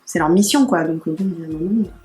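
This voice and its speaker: A woman's voice: fairly low pitch, rapid-fire, a little mellow, roomy sound, a little hiss or hum. A young woman chatting casually in an interview about her channel, with plenty of fillers and restarts. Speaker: interviewed YouTube channel creator.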